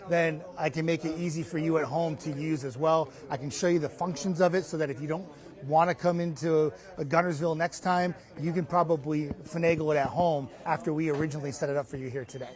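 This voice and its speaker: Middle-aged man, higher-pitched voice, meteorologist, live TV interview, emphatic conversational explaining, lapel mic. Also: fairly even intonation, fast, darkish tone. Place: inside a hardware store, live remote.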